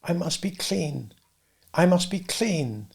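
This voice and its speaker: Man doing a quiet, weary little boy. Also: on the high side, lively intonation, moderate pace, vocal fry, slightly rough, heavily acted. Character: tired, feverish little chimney-sweep boy.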